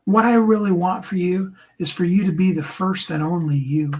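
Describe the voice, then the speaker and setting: A man with a higher tenor voice giving an earnest, encouraging motivational talk to his viewers, often slowing down and dropping into a soft whisper. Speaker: host and coach.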